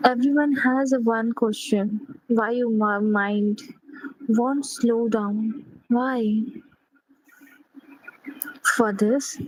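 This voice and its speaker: A woman leading a guided sleep meditation in a gentle, unhurried manner, pausing between short phrases. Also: on the high side, steady tone, low-quality recording.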